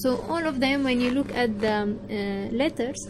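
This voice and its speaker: A woman's voice: a little high, lively intonation, brisk, noisy background. Hesitant female local guide. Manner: hesitant, many fillers. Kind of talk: casual explaining.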